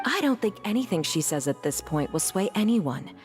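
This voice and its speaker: An actress playing a resigned courtroom onlooker in a dramatic video game scene. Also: female voice, mid-range voice. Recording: long hall reverb.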